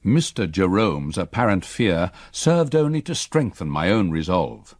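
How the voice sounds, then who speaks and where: An actor playing a resolute gentleman who narrates a gothic radio story in formal, literary phrases. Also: deep voice, big pitch swings, no room sound, exaggerated voice. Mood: sombre, resolute. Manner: formal, measured literary diction. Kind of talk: scripted first-person drama narration.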